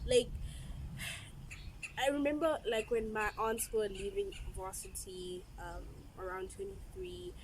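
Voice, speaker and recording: A woman, chatting casually with friends, animated and laughing, with many fillers. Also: medium pitch, unhurried, low-quality recording. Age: 20s. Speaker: guest. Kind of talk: casual chat among friends. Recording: background noise.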